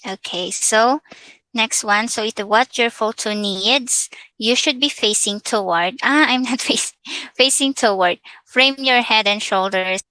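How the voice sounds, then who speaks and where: A Filipina woman with a Filipino accent talks slowly and hesitantly through an online tutorial, mixing English with Tagalog. Her voice is bright and forward, and it sounds close and dry, with no room around it. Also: a little high, dry recording, low-quality recording. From Philippines. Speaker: vlogger. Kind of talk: casual screen-share tutorial.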